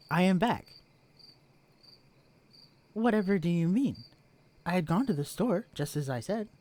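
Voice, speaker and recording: A man's voice: a little high, a little mellow, lightly acted. A man voicing a stiff, formal alien posing as a husband, with stilted, pompous, overly proper diction in a scripted audio roleplay. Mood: falsely cheerful, stiff.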